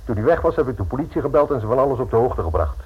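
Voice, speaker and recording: An actor playing a haughty, condescending society lawyer in a radio play, formal and scornful in manner. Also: male voice, mid-range voice, sing-song, racing, breathy edge, exaggerated voice. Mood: dismissive. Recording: muffled old radio recording.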